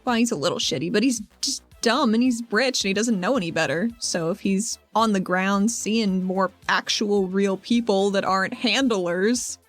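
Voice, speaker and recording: A woman's voice: mid-range voice, moderate pace, few pauses, faint music behind, lightly acted. A woman playing a brash, casual, wry young female fighter in a tabletop roleplaying game. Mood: wry, persuasive.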